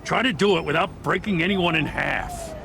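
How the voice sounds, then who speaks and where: Gruff, gravelly police lieutenant. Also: male voice, on the high side, moderate pace, bright, forceful tone, slight background noise. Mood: stern warning. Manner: exasperated, blunt. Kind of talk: dramatic video game voice acting.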